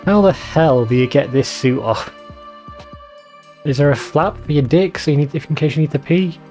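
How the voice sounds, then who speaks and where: A man's voice: on the high side, normal speed, a little mellow, soft background music. A man doing casual, rambling let's play commentary, conversational and given to casual swearing.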